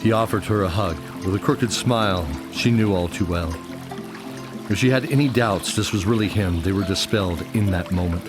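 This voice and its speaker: A man's voice: sits low, moderate pace. A man narrating a scripted fantasy radio drama as its storyteller, with music underneath.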